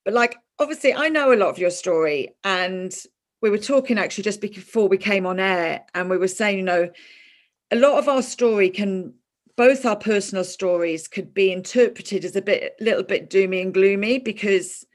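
A woman's voice: medium pitch, normal speed. A woman hosting a podcast, talking casually with a close friend and often restarting her sentences. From moved to Ireland in 2000. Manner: chatty, warm with a friend, many fillers and restarts. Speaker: podcast host. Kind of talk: casual interview chat.

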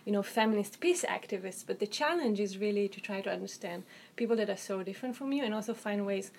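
A woman with an Israeli accent talks through her research in a measured, academic way. She hedges often, restarts her phrases and leans on "um" and "you know" as she thinks. Her pitch sits in an ordinary middle range, and a faint noise hangs under the recording. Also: creaky, darkish tone. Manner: articulate, hedging with um and you know, frequent restarts. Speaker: author and researcher. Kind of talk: academic interview answers.

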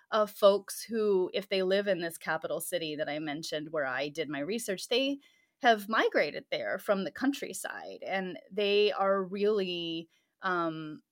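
A woman who is a pastor and scholar, answering interview questions thoughtfully and often laughing lightly between phrases. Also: lowish voice.

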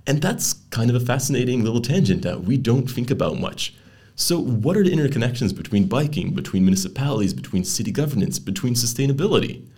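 A man's voice: mid-range voice, very animated, fast talker, a little mellow, husky. A young man, hosting a casual podcast interview with chatty, playful asides. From Netherlands. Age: young adult. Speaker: podcast host.

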